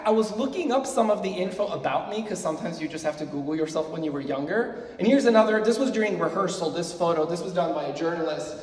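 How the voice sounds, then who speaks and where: A man's voice: a little high, darkish tone. A man in his forties from Sweden, presenting a workshop to music students in a chatty, self-deprecating way with plenty of fillers. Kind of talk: casual lecture to students. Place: lecture hall. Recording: roomy hall, mic far off.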